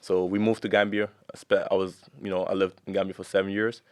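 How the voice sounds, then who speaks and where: Deep-voiced young man, relaxed and rambling. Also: fast talker, dry recording. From Germany. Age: young adult. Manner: relaxed, rambling with many fillers. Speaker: guest. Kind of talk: casual chat.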